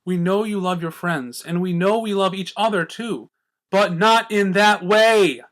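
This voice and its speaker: A man's voice: high voice, a little slow, a bit bright, pitched above the performer's own voice. A man voicing an earnest female pony in a story reading, patient but frustrated, reasoning emphatically. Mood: patient, firm.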